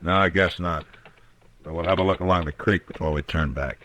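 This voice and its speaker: An actor playing a grave, steady Old West lawman in an old radio western, speaking in measured, terse phrases with hesitant pauses. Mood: grim, steady. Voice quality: very deep voice. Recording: old radio drama recording.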